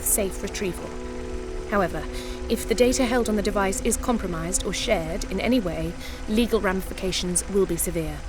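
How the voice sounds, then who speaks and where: An actress playing a formal, controlling corporate executive who speaks crisply in official messages. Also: female voice, medium pitch, close to an everyday voice.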